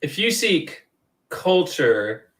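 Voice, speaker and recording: Woman playing a slow-spoken prim young lady. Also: deep voice, very animated, fairly bright tone, heavily acted. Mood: curt. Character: prim young Victorian lady, curt and protective.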